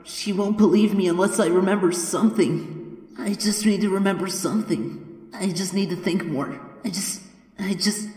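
Woman as husky, timid young mouse boy. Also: medium pitch, a little mellow. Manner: hesitant, earnest. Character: timid, confused young male electric mouse with amnesia. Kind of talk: fan comic dub voice acting.

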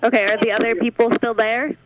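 A woman's voice: on the high side, level tone, fast, a loud crowd. Woman dispatcher on a phone line. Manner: brief, procedural questioning. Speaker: emergency dispatcher. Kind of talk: question-and-answer emergency call handling.

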